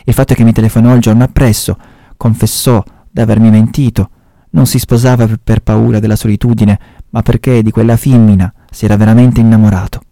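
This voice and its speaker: An elderly spinster, calm and unshakable, tells her story in a low, mellow tone, as a man reads her lines aloud. Her words are steady and plain. The sound is dry and close. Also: a little fast, no echo, lightly acted. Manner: calm, matter-of-fact, with dialect words. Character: old Sicilian spinster, calm, resolute.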